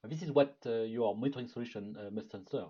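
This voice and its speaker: A man with a French accent giving a product marketing presentation in a webinar, speaking in a measured way with frequent filler sounds. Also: mid-range voice, warm tone, breathy edge. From France. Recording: dull lo-fi webinar audio.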